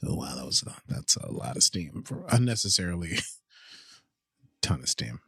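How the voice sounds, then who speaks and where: A man's voice: deep voice, sing-song, moderate pace, vocal fry, bright, forceful tone, husky, no echo, clean studio sound. A man co-hosting a podcast, giving playful, goofy watchalong commentary and laughing often. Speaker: podcast co-host.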